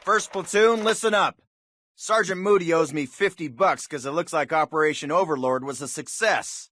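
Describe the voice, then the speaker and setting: An actor playing a confident American army officer, giving an authoritative, orderly briefing to paratroopers in a video game. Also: male voice, fairly high pitch, a bit bright, slight background noise. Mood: proud, dry. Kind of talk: military mission briefing, scripted game acting.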